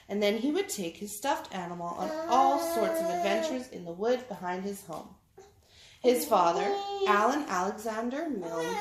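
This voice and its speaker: A woman reading a children's story aloud as a tutor, with expressive, deliberate phrasing. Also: fairly high pitch. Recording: echoey room, clear background noise, clicks.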